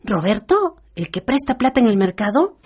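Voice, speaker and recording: An actress with a Peruvian accent playing a sensible, protective middle-aged aunt in a radio drama, earnest in manner. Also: female voice, a little high, very animated, normal speed, darkish tone, breathy edge. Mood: concerned, indignant. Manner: earnest, admonishing.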